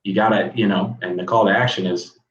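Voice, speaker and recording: Frank male guest coach, lower voice, echoey, lo-fi video call. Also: steady tone, fairly quick, a bit bright. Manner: frank, conversational, repeats words as he talks. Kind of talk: casual interview chat.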